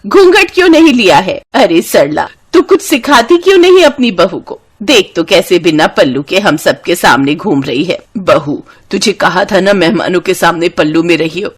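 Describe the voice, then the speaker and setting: A woman voicing a bossy elderly grandmother-in-law in a cartoon story, scolding loudly in a high voice. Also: animated, moderate pace, few pauses, slightly rough. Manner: scolding, loud.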